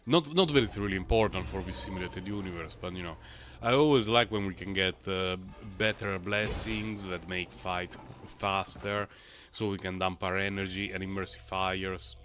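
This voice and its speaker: A man livestreaming a game, talking in a rambling, chatty way full of fillers, his voice a little low and rough from a recent cold. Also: steady tone, moderate pace, fairly bright tone, slight background noise. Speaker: game streamer. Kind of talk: casual live-stream gameplay commentary.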